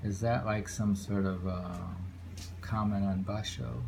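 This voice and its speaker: Male poetry reader, unhurried and musing, casual asides between haiku, audible noise on a homemade recording. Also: on the low side, steady tone, creaky. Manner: deliberate, pausing, musing between poems.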